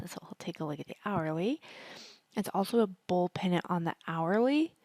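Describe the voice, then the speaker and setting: Hoarse, low-voiced woman talking stocks. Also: expressive, normal speed, darkish tone, dry recording. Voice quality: husky, worn voice. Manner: chatty, thinking aloud. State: partly hoarse from singing at a concert. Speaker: stock trading educator, video host. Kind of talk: casual screen-share market commentary.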